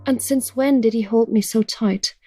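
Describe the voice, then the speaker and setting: A woman's voice: on the high side, moderate pace, dark tone, soft background music, natural voice. Earnest, guarded young woman, played by an actress. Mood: uneasy, conflicted. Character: resolute, conflicted young woman resisting a tempter. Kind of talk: audio drama acting.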